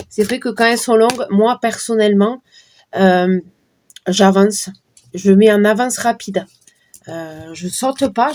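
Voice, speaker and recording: Hoarse woman reading cards aloud. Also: medium pitch, a little mellow, a little hiss or hum. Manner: chatty, talks to viewers while shuffling cards. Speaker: card reader. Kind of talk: casual card-reading livestream talk.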